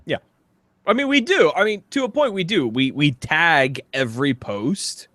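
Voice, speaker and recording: Lively, higher-voiced man co-hosting a comedy podcast. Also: moderate pace, no room sound. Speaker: podcast co-host. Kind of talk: casual comedic chat with mock-dramatic readings.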